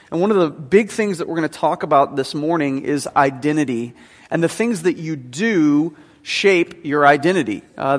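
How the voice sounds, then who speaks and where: A man in his thirties, a teaching pastor giving a conversational sermon with frequent fillers, in a church sanctuary. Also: on the high side. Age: 30s. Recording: long hall reverb.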